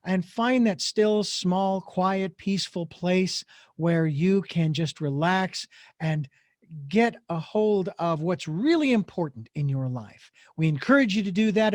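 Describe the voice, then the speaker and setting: A man's voice: high-pitched, darkish tone, dry recording. A middle-aged man hosting a radio interview show, speaking earnestly with a meandering flow and many fillers. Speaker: radio talk show host.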